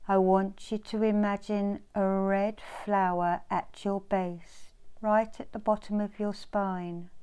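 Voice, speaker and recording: A woman guides a meditation at a very leisurely pace. Her voice is soft-edged and warm, with a smooth, clean tone. She gives soothing instructions gently, repeating phrases and leaving pauses. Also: mid-range voice, flat delivery. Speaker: meditation teacher leading a guided visualisation.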